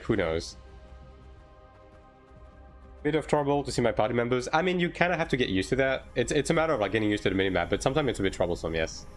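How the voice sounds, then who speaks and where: A man from France who grew up speaking French talks casually as a game streamer. His voice sits at a middle pitch, and he speaks informally, often checking in with a tag question. Music plays faintly behind him. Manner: informal, hedging, tags sentences with "right?". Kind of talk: casual livestream chat answering viewer questions.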